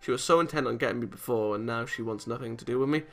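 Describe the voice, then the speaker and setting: Male let's play host, bright, forceful voice, animated reactions while narrating a visual novel, music underneath. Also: medium pitch, rapid-fire. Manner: animated, exasperated outbursts.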